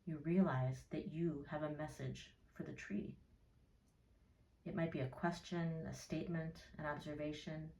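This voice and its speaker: A woman narrating a guided meditation in a soothing, measured way, leaving gentle pauses. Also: sits low, fairly even intonation, slight background noise. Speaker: therapist leading a guided meditation. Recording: roomy echo, distant from mic.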